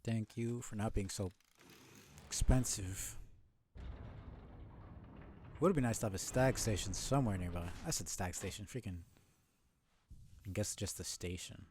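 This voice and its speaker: A man's voice: lowish voice, unhurried, long pauses, a little mellow, slight background noise. A young man casually talking to himself while streaming a game, mumbling thoughts as he plays. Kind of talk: casual live gameplay commentary.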